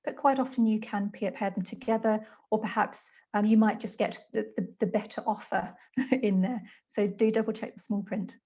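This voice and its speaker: A woman's voice: medium pitch, steady tone, moderate pace, dark tone. A woman from the South Coast of England with a southern English accent, explaining student finance in a friendly way with slight hesitations on a video call. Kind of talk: webinar explanation. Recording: dull lo-fi call audio.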